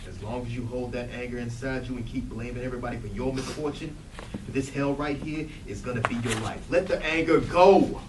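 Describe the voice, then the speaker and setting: A man's voice: medium pitch, brisk, close to an everyday voice. A man playing a wounded but resolute grown son, speaking earnestly and emphatically in a dramatic stage reading. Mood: stern. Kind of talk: stage readers theatre acting. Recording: echoey room, distant mic, background noise.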